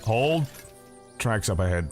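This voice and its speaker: A very deep, gruff military major, played by a man in a wasteland audio drama. He is curt and commanding, a seasoned tracker, with faint music behind him. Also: big pitch swings, slow pace, darkish tone. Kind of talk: fan audio drama acting.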